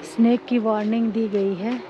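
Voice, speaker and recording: A woman in her thirties excitedly narrating a travel vlog while walking a sunny trail, holding the camera at arm's length. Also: on the high side, normal speed. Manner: chatty and excitable, pointing out sights. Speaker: travel vlogger. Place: outdoors on a sunny volcano hiking trail. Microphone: camera held at arm's length. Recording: dull outdoor phone audio with wind noise.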